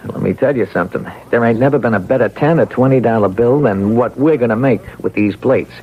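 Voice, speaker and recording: An actor playing a surly, grumbling, nasty little counterfeiter in a radio mystery, with rough, sarcastic, colloquial speech. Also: male voice, medium pitch, fairly quick. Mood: proud, boastful. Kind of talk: radio mystery drama acting. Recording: tinny, band-limited old radio recording.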